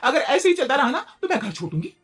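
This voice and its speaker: A woman's voice: high voice, big pitch swings, fast talker, fairly bright tone. Indignant young wife with swooping delivery voiced by a woman. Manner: argumentative, aggrieved. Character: indignant, sharp-tongued young wife, easily offended. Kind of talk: Hindi moral-story cartoon dubbing.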